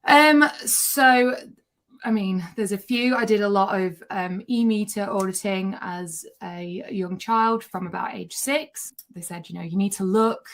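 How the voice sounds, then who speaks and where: A woman's voice: mid-range voice, unhurried. A woman answering interview questions about her upbringing in a matter-of-fact way. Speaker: former member raised in the group.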